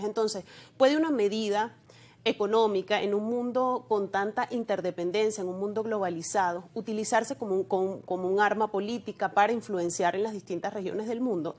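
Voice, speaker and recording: A woman hosting an interview, deliberately building long, carefully framed questions for her guest. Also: medium pitch. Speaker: interviewer, host.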